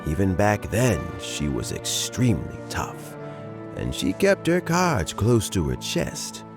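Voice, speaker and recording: Wise older mentor in a game, really slow and deliberate, pitch goes all over, music under it. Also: male voice, full character voice. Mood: sage, reflective. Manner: deliberate, sage, expressive. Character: wise, measured older gentleman, elder adviser. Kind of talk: video game voice acting.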